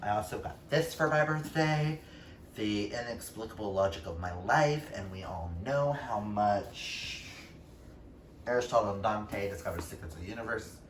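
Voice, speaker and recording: A man's voice: lowish voice, normal speed. A man talking casually and expressively to viewers in a book vlog, informal and given to emphatic exclamations. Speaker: book YouTuber. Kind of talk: casual chatty vlog talk to camera. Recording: roomy echo, distant mic, faint noise.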